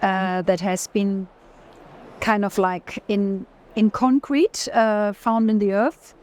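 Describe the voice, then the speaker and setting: A woman's voice: mid-range voice, fairly even intonation, takes it slowly, darkish tone. A woman in an unscripted walking conversation about art, searching for words and rephrasing as she goes. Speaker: art collector in a gallery conversation. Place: art fair.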